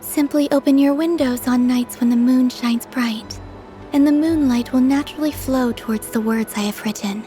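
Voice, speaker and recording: Soft-voiced, wistful young moon maiden played by a woman. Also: sits high, normal speed, faint music behind, lightly acted. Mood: calm, tender. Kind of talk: video game cutscene voice acting.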